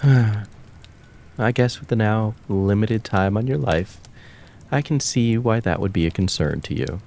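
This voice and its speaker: A man voicing a cruel, taunting kitsune fox spirit of the woods in an ASMR roleplay, with drawn-out, mocking, menacing words. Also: lowish voice, vocal fry, darkish tone. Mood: sinister, savoring.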